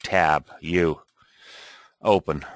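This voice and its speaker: A man thinking aloud and muttering to himself as he clicks through an off-the-cuff software tutorial at his computer. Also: a bass voice, steady tone, very slow, no room sound. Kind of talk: off-the-cuff screen recording tutorial.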